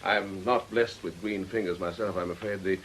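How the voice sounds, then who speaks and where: A man's voice: deep voice, steady tone, moderate pace, a bit bright, a little hiss or hum, poor audio. An actor playing an earnest, defensive male vicar in a British radio drama, articulate, courteous and pleading. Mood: polite, reflective.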